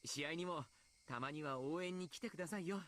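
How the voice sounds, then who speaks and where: A man's voice: on the high side, rapid-fire, a bit bright, natural voice. A man playing a genial, polite team coach in Japanese anime game style.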